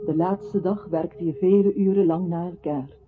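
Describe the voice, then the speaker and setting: A man's voice: high voice, steady tone, normal speed, lightly acted. A male actor in a radio play playing an earnest, lyrical narrator who recites in an articulate storytelling manner. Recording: thin phone-like radio sound, faint music.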